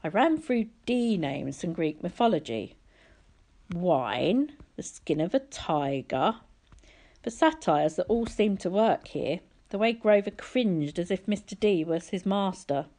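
A woman reading a children's adventure story aloud as expressive scripted narration. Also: lowish voice, a little slow. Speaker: audiobook reader.

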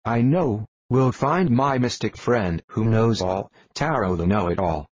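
A man's voice: lowish voice, unhurried, breathy edge, no room sound, lo-fi sound. A man voicing a brash young male cartoon hero who talks bluntly and swears casually.

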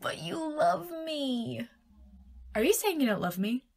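A woman's voice: medium pitch, lively intonation, takes it slowly, husky, exaggerated voice. A woman playing a playful, self-deprecating scriptwriter girlfriend in an intimate audio roleplay, with whiny, theatrical pleading that often drops into murmurs. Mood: mock wounded.

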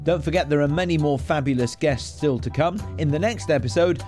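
A man delivers a podcast sign-off as host. His voice is fairly high for a man, with faint guitar music playing behind it. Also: a little fast, darkish tone. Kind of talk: podcast outro.

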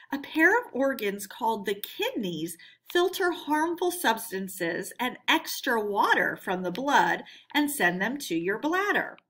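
A woman teacher reading a scripted educational lesson aloud for children in an expressive, deliberate way. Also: mid-range voice.

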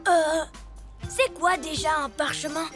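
Naive, high-pitched small boy. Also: fairly even intonation, slow pace, fairly bright tone, soft background music. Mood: puzzled. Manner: hesitant, questioning. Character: naive, cautious six-year-old boy superhero. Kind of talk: French cartoon dubbing.

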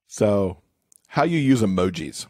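A man's voice: on the low side, big pitch swings, a little slow, warm tone, no echo, clean studio sound. A man speaking as an expert podcast guest, explaining things in a casual, conversational way.

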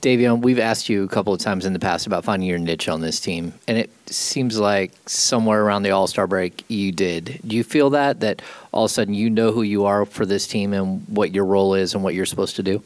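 A man's voice: on the low side, fairly quick, hardly any pauses, no room sound. A male reporter asking conversational questions at a press conference.

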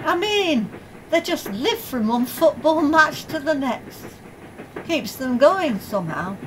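A woman's voice: high voice, very animated, slow, full character voice, low-quality recording. A woman playing a tired, wryly tolerant football supporter's wife chatting in a radio play. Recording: faint train noise behind.

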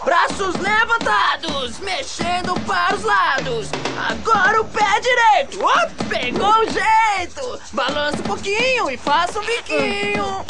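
High-pitched mischievous little monkey. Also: lively intonation, a little slow, few pauses, over loud music, exaggerated voice. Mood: teasing. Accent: Brazilian. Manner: teasing, playful. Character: small mischievous invisible monkey trickster posing as inner voice. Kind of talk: dubbed animated series acting.

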